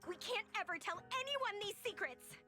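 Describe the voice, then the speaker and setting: A woman's voice: very high-pitched, lively intonation, moderate pace, sharp tone, soft background music, exaggerated voice. A woman voicing a teenage schoolgirl character in an anime action game. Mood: playful, secretive.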